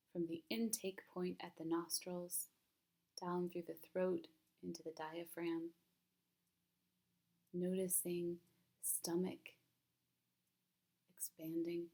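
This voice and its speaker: Calm, low-pitched woman guiding meditation. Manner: calm, gentle, soothing, unhurried with long pauses. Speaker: mindfulness teacher leading a guided meditation. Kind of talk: guided meditation narration.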